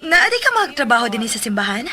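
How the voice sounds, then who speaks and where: A woman's voice: fairly high pitch, very animated, fast, sharp tone. An actress playing a cheerful, eager young woman in a radio drama. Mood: bright, friendly.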